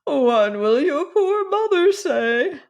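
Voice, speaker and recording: A woman reader voicing an anxious, fussy elderly woman cousin who pleads and frets in a high fluttering voice. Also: very animated, slow pace, a little mellow, muffled recording, heavily acted. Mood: pleading fussy.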